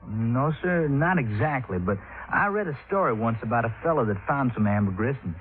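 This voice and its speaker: Through a thin, narrow old radio recording comes a man playing a young American fisherman. He is polite and folksy, a little defensive, with a medium-pitched country lad's delivery from fifties radio drama. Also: lively intonation, brisk, natural voice. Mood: deferential. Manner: folksy, deferential. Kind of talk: 1950s radio drama acting. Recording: phone-like vintage radio recording.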